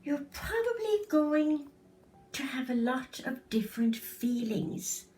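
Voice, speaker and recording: A woman voicing a gentle, concerned mother in a storybook, soft, careful and soothing. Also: sits high, big pitch swings, slow pace, recorded from a distance, slight background noise, full character voice, poor audio. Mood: gentle, sad. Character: gentle, concerned mother consoling her child. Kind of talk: storybook reading.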